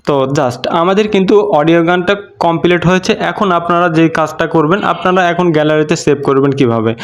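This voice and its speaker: A man's voice: a little high, fast talker. A man narrating a step-by-step app tutorial in a repetitive, instructional way. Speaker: tutorial host.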